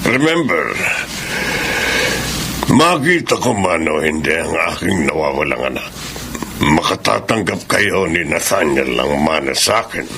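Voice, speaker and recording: Give the part of an ailing old tycoon in a radio drama. His voice is very low and gravelly, with animated swings of pitch. He is commanding and deliberate, and slips into English. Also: full character voice. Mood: assured, authoritative. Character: ailing old male tycoon searching for a lost child. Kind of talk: Filipino radio drama acting. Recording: faint background noise.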